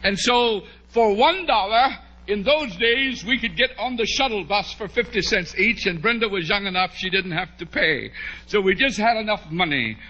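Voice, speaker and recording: A middle-aged American man preaching, telling a humorous story to a congregation in an animated way with drawn-out emphasis. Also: sits high, dull audio. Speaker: preacher, bishop. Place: large church hall, at a pulpit before a congregation. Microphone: podium microphone on a stand.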